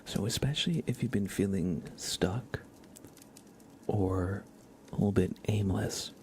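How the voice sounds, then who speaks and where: Slow, half-whispering low-voiced ASMR man. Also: a little hiss or hum. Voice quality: soft, breathy half-whisper. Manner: gentle, unhurried, informal. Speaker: ASMR livestream host.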